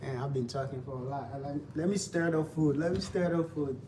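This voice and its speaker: Haitian-accented young guy cooking and chatting away, kinda high voice, mic a bit far off. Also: fairly quick. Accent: Haitian Creole. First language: Haitian Creole. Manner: loose, repetitive, talks to viewers while cooking. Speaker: cooking vlogger. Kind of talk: casual live cooking chat. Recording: distant mic with faint background noise.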